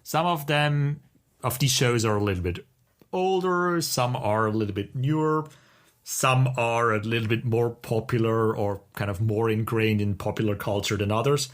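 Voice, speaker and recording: A man's voice: medium pitch, moderate pace. A man talking casually and enthusiastically as co-host of a podcast.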